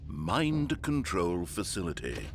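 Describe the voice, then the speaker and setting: An actor playing a wry, theatrical storybook narrator in a video game. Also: male voice, low voice, wildly swooping pitch, takes it slowly, creaky, noisy background, full character voice. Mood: wry, dramatic. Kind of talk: scripted narration.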